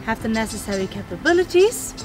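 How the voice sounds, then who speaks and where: Lively young woman presenting over music. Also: on the high side, fast. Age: young adult. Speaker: student presenter. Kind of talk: scripted appeal.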